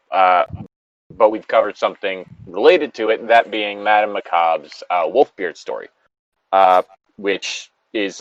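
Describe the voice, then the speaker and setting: A man's voice: sits low, dry recording, muffled recording. A man co-hosting a story reading show, switching between reading aloud and casual, sardonic, rambling commentary with some swearing.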